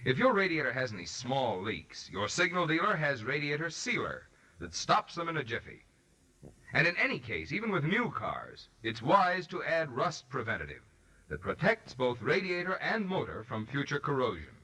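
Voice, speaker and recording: Casting: man as 1940s radio pitchman, lively swooping delivery, crisp bright edge, polished salesmanship. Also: normal speed. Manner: polished, promotional. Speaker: radio announcer. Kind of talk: 1940s radio sponsor read.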